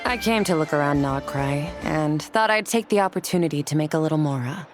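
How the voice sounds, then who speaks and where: A cool, solemn swordswoman played by a woman with a low voice. The words come blunt, measured and detached, formal in the manner of a video game mentor, over background music. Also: natural voice.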